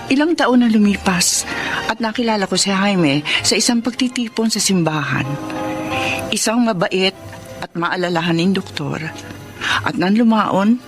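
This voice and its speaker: An actress playing a kind, patient middle-aged mother and wife in a radio drama, gentle and sincere. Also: medium pitch, unhurried, long pauses, music in the background, lightly acted. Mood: reflective. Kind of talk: Tagalog radio drama narration and acting.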